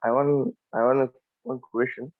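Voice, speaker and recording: A man who is a non-native English speaker, asking a question as a training attendee in halting, broken phrases. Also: medium pitch, steady tone, no echo. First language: not English. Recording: narrow phone-like call line.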